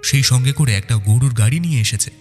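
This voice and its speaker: A man's voice: mid-range voice, fast, husky, in a large hall, soft background music. A man narrating a detective audio story in a dramatic, scripted first-person style.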